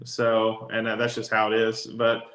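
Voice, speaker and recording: A raspy-voiced man, a country singer, talks easily as a guest, with lots of fillers. His voice has a bright, forceful edge, and it rings in an echoey room. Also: on the low side, completely flat delivery, moderate pace. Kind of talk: casual interview chat.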